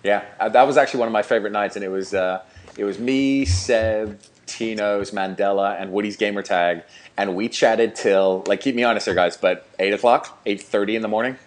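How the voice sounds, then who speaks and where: A man's voice: lowish voice, fairly even intonation, brisk. A man co-hosting a gaming podcast, talking casually in an informal, slangy way. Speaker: podcast co-host, gaming YouTuber. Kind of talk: casual chat.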